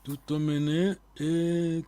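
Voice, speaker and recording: A man teaching a live online language lesson, quizzing his students and repeating key words slowly so they stick. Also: fairly high pitch, level tone, mellow, slight background noise.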